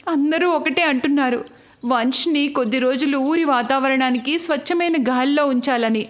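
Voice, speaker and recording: A woman voicing a worried mother and caring elder sister in an animated family story, concerned and affectionate. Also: sits high, normal speed, lightly acted.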